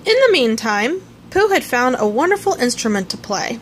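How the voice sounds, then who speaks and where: A woman reading a children's story aloud in an expressive storytelling manner. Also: on the high side, normal speed, a little hiss or hum. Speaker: storybook reader. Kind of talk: scripted children's story narration, read aloud.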